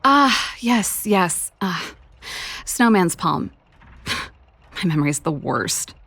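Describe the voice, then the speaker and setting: A woman voicing a playful, teasing young big-sister inventor and musician in a video game, casual and expressive. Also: lowish voice, extremely slow, creaky, slightly rough, no echo, full character voice. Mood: breezy, teasing.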